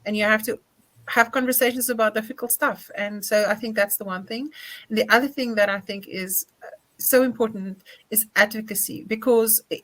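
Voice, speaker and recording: A middle-aged woman with a South African accent answering earnestly and in a measured, emphatic way as a panelist. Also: medium pitch, a bit bright, low-quality recording. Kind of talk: thoughtful panel discussion answer.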